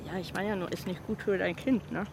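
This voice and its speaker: A woman's voice: on the low side, fast talker. An actress playing a talkative, caring, scatterbrained woman in a naturalistic radio drama, musing and rambling conversationally. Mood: musing, motherly. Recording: clearly noisy outdoor ambience.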